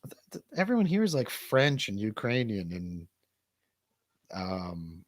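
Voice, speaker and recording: Male talk host, rambling and bantering, very animated intonation, close dry sound. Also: on the low side, unhurried, no echo. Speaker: radio show host and DJ. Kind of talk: casual chat.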